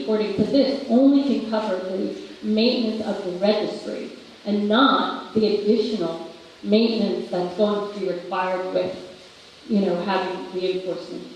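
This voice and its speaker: A woman's voice: mid-range voice, a little mellow. A woman asking an earnest question as a resident at a public meeting, with some ums and restarts. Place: large indoor meeting room. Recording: echoey hall, distant mic, faint noise.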